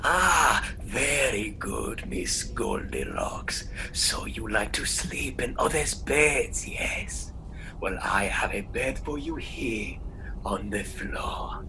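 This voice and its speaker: A man playing a menacing, sarcastic storybook bogeyman in a radio play, with a gravelly, whispery voice and a theatrical, taunting delivery. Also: medium pitch, very animated, takes it slowly. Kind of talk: irreverent radio play acting. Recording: noisy recording.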